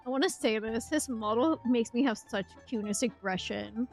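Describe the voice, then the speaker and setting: A woman streamer giving casual live game reactions in a slangy, expressive, giggly way. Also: fairly high pitch, normal speed, soft background music.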